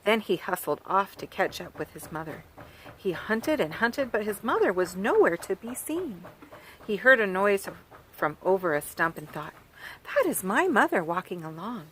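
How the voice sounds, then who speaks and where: A woman reading a children's picture book aloud on a farm, gently pausing to point out the pictures to young listeners. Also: mid-range voice, big pitch swings, a little hiss or hum, low-quality recording. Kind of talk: storybook read-aloud for children.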